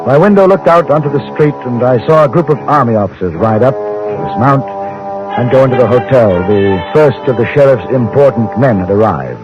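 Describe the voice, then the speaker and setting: Male actor as English gentleman reporter, British accent, formal, courteous, inquisitive, mid-range voice, dull vintage radio sound. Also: medium pitch, animated, a little fast, close to an everyday voice. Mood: composed, narrating. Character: polite, inquisitive English gentleman newspaper correspondent. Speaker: actor and narrator in a radio western. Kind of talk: old-time radio drama, scripted first-person narration. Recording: dull old radio recording with music.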